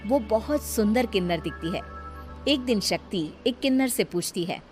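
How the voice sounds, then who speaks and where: A woman's voice: mid-range voice, animated, moderate pace, over music. A woman narrating a scripted story in a storytelling style.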